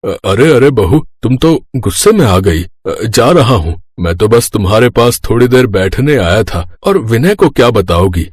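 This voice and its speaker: A man's voice: medium pitch, husky, no echo. A man playing a lecherous, scheming middle-aged father-in-law in a cartoon story, hesitant and wheedling. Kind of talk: Hindi moral-story cartoon acting.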